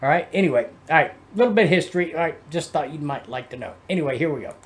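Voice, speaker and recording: A man giving casual music commentary as a host, explaining things in a halting, rambling way. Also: a little high, animated, background noise. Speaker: host of a music reaction video.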